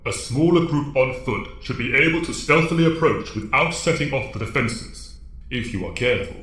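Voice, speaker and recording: An actor playing a stern, fatherly alien mentor in an audio drama, speaking formally and with measured authority. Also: medium pitch, animated, fairly bright tone, reverberant, natural voice. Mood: grave, instructive.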